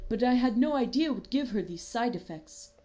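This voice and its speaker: A woman's voice: on the high side, lively intonation, fairly quick, mellow, a little hiss or hum, natural voice. A woman voicing a frightened, guilty female zebra who pleads under questioning in a dramatic fan fiction reading. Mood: fearful, pleading. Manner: stammering, pleading.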